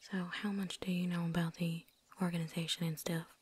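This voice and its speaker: A woman playing a seasoned, wary but friendly vampire hunter in a hushed, folksy ASMR roleplay whisper. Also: fairly low pitch, flat delivery, brisk, slight background noise, close to an everyday voice. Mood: confiding. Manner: hushed, folksy drawl, teasing.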